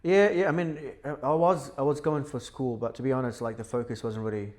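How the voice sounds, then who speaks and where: A man's voice: mid-range voice, a little fast. Syrian-born man telling his story. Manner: relaxed, storytelling, frequent fillers. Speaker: podcast guest. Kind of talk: casual podcast interview. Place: indoor podcast setup.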